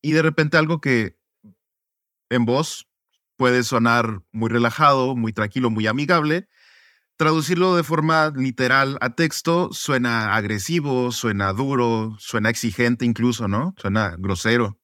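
A man's voice: medium pitch, a little slow, a bit bright, no echo. Man, from Mexico, podcast guest, reflective, tag questions, dry sound. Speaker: podcast guest, student advocate. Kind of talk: conversational interview answers.

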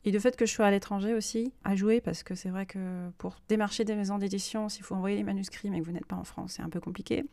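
A woman's voice: mid-range voice, fairly even intonation, fast talker, hardly any pauses, mellow, dry recording. A woman hosting a personal podcast, speaking unscripted and reflectively, often hesitating as she searches for her words. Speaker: podcast host and self-published author.

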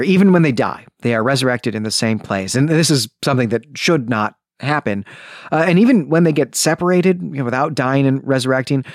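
A man hosting a podcast, giving book commentary in an emphatic way, repeating phrases deliberately for stress. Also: mid-range voice, no room sound, clean studio sound. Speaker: podcast host.

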